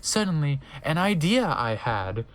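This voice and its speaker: A man reads a story aloud in a slow, theatrical way. His fairly high voice swings widely in pitch. The delivery is exaggerated and comedic, like a mock-dramatic reading. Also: very animated, fairly bright tone, low-quality recording. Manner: dramatic, exaggerated, breaking off to react. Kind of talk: theatrical reading of fan fiction with baffled asides.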